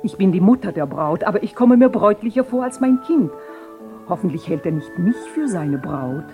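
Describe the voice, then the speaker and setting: An actress playing a flirtatious, excitable noblewoman, the bride's mother, in a theatrical 1970 German radio play. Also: mid-range voice, normal speed, over music. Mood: coquettish. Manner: theatrical, coquettish.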